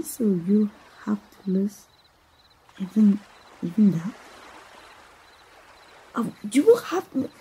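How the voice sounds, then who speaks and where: Breathy, mellow young princess, acted by a woman. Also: medium pitch, exaggerated voice, low-quality recording. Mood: moved, worried. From Mexico. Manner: halting, emotive, with gasps and sighs. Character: tender young princess, awed and lovestruck. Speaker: voice actor. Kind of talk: romantic ASMR roleplay acting.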